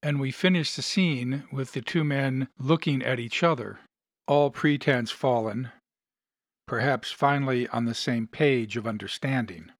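A man hosting a show, giving scripted narration and commentary on an old radio drama in a measured, explanatory way. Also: mid-range voice, dry recording, clean studio sound. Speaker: podcast host.

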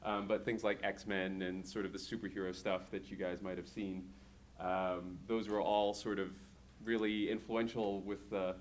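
A man in his thirties, from Hong Kong, a comics creator talking to an audience and often pausing with fillers and restarting. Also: low voice, little pitch movement, slight background noise. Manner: hesitant, many fillers and restarts. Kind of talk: talk to an audience.

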